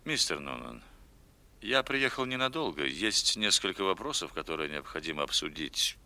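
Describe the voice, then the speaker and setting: An actor playing a cool, businesslike superior in a radio drama, formal, courteous and commanding. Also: male voice, sits low, lively intonation, moderate pace, vocal fry, sharp tone, heavily acted.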